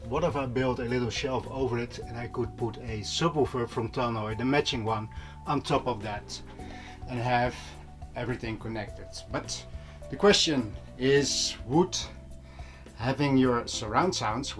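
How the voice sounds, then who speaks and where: A man's voice: mid-range voice, measured pace. A man talking casually to viewers, explaining things in a conversational way as an audio vlogger. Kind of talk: casual vlog talk to viewers. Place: small room at home. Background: music playing.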